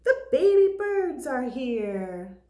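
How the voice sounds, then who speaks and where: A woman teacher reading a picture book aloud to young children in an expressive, deliberate way. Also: high-pitched, slight background noise. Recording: roomy, echoing sound.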